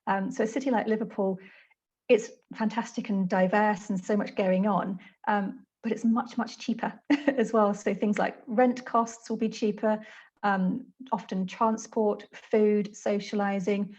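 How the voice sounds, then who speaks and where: A woman's voice: mid-range voice, fairly even intonation, a little slow, a little mellow. A woman from the South Coast of England with a southern English accent, explaining student finance in a friendly way with slight hesitations on a video call. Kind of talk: webinar explanation. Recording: dull lo-fi call audio.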